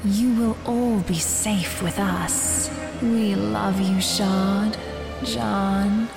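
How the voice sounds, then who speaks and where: A woman's voice: mid-range voice, steady tone, very slow, vocal fry. A woman playing an alluring siren spirit in an audio drama, coaxing and beckoning with false love. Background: music.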